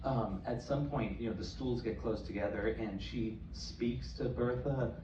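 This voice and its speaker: A man's voice: medium pitch, a little slow, highs cut off. A man in the audience asking questions at a theater talkback, enthusiastic but hesitant with fillers. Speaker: audience member. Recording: echoey, distant, noisy room recording.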